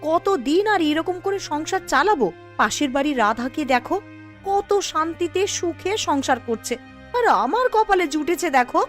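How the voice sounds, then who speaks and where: A woman acts out a busy young housewife in a cartoon village tale. Her voice is very high and animated, with a brisk, homely, nagging manner. Soft music sits behind it. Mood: exasperated. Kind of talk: animated cartoon story dialogue.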